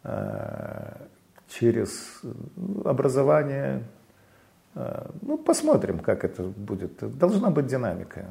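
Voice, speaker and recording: A man from Belarus talks haltingly as an interview guest. His voice is gravelly and fry-edged, dark and mellow in tone. He stops and restarts often, padding his sentences with fillers. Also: mid-range voice, wildly swooping pitch. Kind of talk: casual interview talk.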